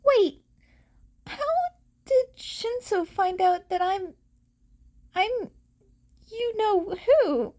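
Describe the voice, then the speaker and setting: Nervous, kind teen boy hero played by a woman, high and swooping, halting delivery, audio storybook. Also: animated, warm tone, full character voice. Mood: anxious. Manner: halting, earnest, stammering. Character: nervous, soft-hearted teenage boy vigilante.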